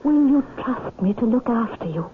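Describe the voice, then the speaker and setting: A scheming noblewoman, played by an actress in an old radio drama, with a fairly high voice. She is possessive, imperious and melodramatic. The recording is thin and tinny, with heavy hiss. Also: expressive, moderate pace, natural voice. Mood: coaxing, possessive. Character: possessive, scheming aristocratic widow, turning vindictive when spurned. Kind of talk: vintage radio drama acting.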